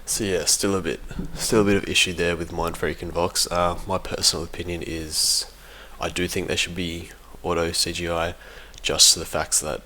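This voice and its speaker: A man with a low, fairly monotone voice talks off the cuff like a competitive gamer sharing opinions. His tone has a bright, forward edge. He is informal and hesitant, rewording as he goes, over some background noise. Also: moderate pace, few pauses. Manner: hesitant, informal, self-correcting. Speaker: competitive gaming team member giving an audio log. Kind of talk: casual unscripted commentary.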